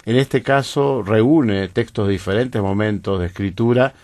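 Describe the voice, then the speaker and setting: Uruguayan man, radio guest, low and dry, measured and reflective, soft-spoken studio talk. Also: dry recording. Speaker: guest poet. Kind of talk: radio interview conversation.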